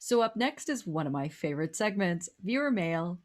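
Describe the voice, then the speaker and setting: A woman's voice: on the low side, sing-song, moderate pace, a little mellow. A woman hosting a talk show in casual, playful chat.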